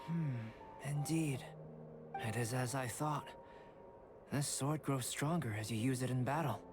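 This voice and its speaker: A man plays a composed young alchemist with a mid-pitched voice who speaks precisely and formally. He stays measured and scholarly while game music plays behind him. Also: close to an everyday voice. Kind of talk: game cutscene voice acting.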